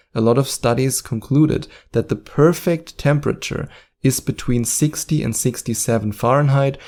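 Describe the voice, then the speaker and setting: A man's voice: mid-range voice, warm tone. A young man with a German accent, speaking as host in an earnest, reassuring self-help talk about sleep.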